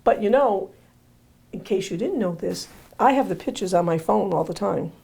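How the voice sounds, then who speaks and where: A woman hosting a casual interview, her voice hoarse and going, rambling and repeating herself with joking asides. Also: mid-range voice, animated, moderate pace, warm tone. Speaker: host.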